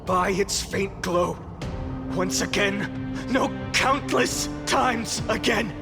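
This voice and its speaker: An actor playing a vengeful, tormented warrior who has endured countless cycles, declaiming in a grand, defiant video game style. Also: male voice, sits high, takes it slowly, gravelly, exaggerated voice. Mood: grim, weary resolve. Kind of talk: dramatic video game voice acting. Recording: loud music behind the voice.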